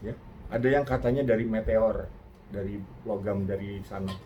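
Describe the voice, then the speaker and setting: An older Indonesian man giving a casual reaction talk, reflective and earnest, pausing and restarting often. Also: on the low side, steady tone, dull audio, slight background noise. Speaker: host.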